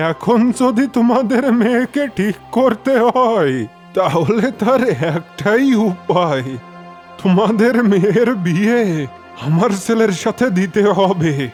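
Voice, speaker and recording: A man voicing a scheming, cruel village sorcerer who gloats and laughs slowly, with music behind. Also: sits high, lively intonation, a little mellow. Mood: commanding. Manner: gloating, slow, laughing menace.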